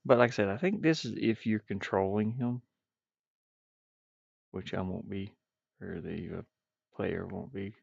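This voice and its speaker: A man with a gravelly voice rambles and mutters to himself, thinking aloud casually as he streams his game development work. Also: low-pitched, a little slow, pauses often, dry recording. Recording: dull, muffled, dry lo-fi microphone.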